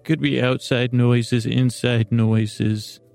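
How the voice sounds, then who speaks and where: A man with a noticeably creaky, mid-pitched voice hosts a bedtime podcast in a close, dry recording. He rambles soothingly, pausing and doubling back on his words as he meanders along to lull listeners to sleep. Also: level tone, a little mellow, dry recording, faint music behind. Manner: meandering, halting, with pauses and repeated words; soothing, lulling. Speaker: podcast host.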